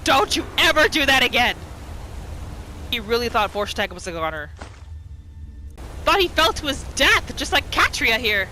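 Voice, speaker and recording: A woman's voice: fairly high pitch, very animated, moderate pace, long pauses, noisy background. A woman narrating a roleplay game playthrough, reacting with exclamations and talking to the game's characters. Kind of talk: reactive let's-play commentary.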